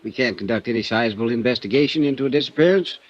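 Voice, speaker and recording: An actor playing a folksy small-town police constable in a 1950s radio drama, drawling and unhurried. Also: male voice, mid-range voice, natural voice. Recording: dull old radio recording.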